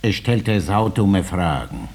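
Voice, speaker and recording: An actor playing a stubborn, put-upon farmer with dry cheek and a husky voice in a 1950s radio drama. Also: male voice, low voice, very animated, slow, a little mellow, full character voice. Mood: defiant.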